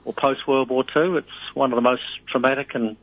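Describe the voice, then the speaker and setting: A man's voice: medium pitch, fairly even intonation, no room sound. New Zealand man on a tinny phone line. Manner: rambling, halting with many fillers. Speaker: radio host, guest. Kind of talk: casual radio chat.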